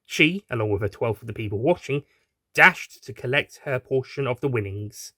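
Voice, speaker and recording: A man's voice: medium pitch, animated, normal speed, bright, forceful tone, dry recording, studio quality. A man reading a scripted audio story as its narrator. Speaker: writer and narrator.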